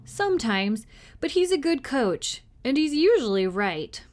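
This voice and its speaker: A woman's voice: high-pitched, very animated, a little slow, voice pushed up. Woman reading as a guarded, moody young schoolboy. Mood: earnest. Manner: muttering, reluctant.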